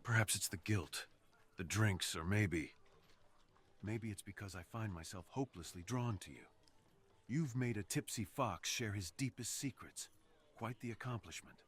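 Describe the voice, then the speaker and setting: A man voicing a brooding, seductive fox assassin in an intimate ASMR roleplay, speaking slowly and earnestly with dramatic pauses. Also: on the low side, fairly bright tone, breathy edge. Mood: confiding, tipsy. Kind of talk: ASMR roleplay, slow intimate scripted monologue.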